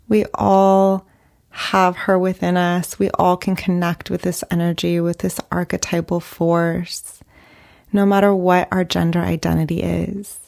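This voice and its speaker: Slow, creaky-voiced woman guiding meditation. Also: fairly low pitch. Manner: gentle, unhurried, with long pauses between short phrases. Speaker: meditation guide, therapist. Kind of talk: guided meditation, slow and soothing narration.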